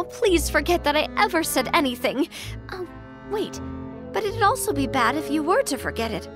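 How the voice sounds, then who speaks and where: An actress voicing an earnest, sentimental young woman who leads a clan, easily embarrassed, in a video game. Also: sits high. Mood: flustered. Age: young adult. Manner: earnest, expressive, hesitant when flustered. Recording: music behind the voice.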